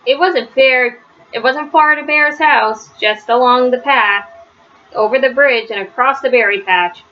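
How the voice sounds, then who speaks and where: A woman's voice: high-pitched, unhurried. A woman reading a children's story aloud as a storyteller. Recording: slightly dull, faintly noisy recording.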